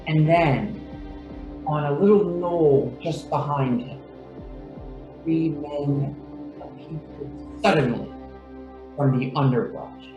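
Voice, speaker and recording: A man narrating a radio play in a measured, scripted storytelling manner. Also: a little high, darkish tone. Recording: roomy echo, music underneath.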